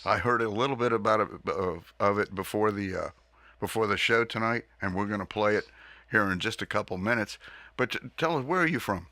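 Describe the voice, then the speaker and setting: A man's voice: fairly low pitch, fairly quick, sharp tone, no echo. A man hosting a live radio interview from a recording studio, chatting easily with his guest and asking questions into a studio microphone. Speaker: radio show host.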